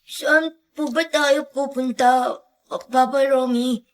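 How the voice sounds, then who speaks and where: A woman's voice: sits high, steady tone, slow. A woman in a radio drama playing a timid, nervous young boy who speaks hesitantly. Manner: hesitant, stammering.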